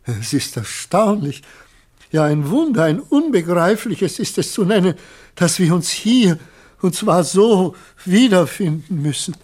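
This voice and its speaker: A deluded, effusive creator in a radio drama. His voice sits fairly high and swings widely, theatrical and solemn, full of pleading devotion. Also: sing-song, measured pace, darkish tone, exaggerated voice. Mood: solemn wonder. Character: rapturous, deluded man claiming his runaway scarecrow as creation. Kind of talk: German radio play acting.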